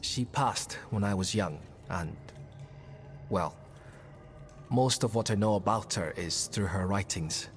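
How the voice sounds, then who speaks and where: A man's voice: lowish voice, slow, a little hiss or hum. An actor playing an earnest young male druid companion in a dramatic video game. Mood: wistful. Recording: game music underneath. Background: game music.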